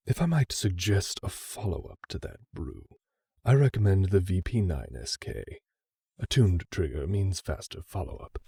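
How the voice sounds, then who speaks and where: A man playing a courteous, refined weapons sommelier to assassins in an audio roleplay, with a formal, measured and deferential delivery. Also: sits low, gravelly, mellow, breathy edge, no echo, heavily acted. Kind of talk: roleplay audio drama acting.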